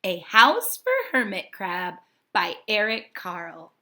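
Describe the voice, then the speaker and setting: Slow, bright-voiced woman teacher reading aloud. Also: medium pitch, expressive. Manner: deliberate, instructive delivery for young children. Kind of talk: children's read-aloud lesson. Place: home kitchen. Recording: echoey domestic room.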